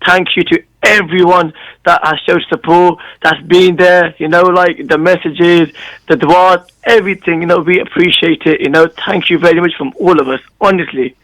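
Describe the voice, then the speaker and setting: A man calling into a radio show, hoarse from losing his voice, speaking earnestly with many fillers. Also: sits high, moderate pace, no room sound, rough recording. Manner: earnest, excited and grateful, repeating fillers. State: hoarse, lost voice. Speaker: caller.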